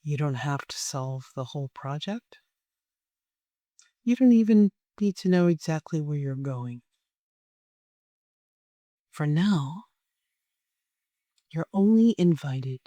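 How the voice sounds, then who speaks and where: Soft mellow meditation guide, really slow and gentle, dry close sound. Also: mid-range voice, no echo. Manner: gentle, soothing, unhurried with long pauses.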